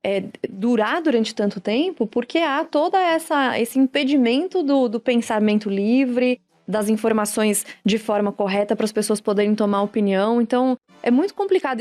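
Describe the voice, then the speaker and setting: A woman hosts a talk podcast, laying out long, argumentative explanations. Her voice sits a little high, with faint music in the background. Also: darkish tone. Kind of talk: casual podcast conversation.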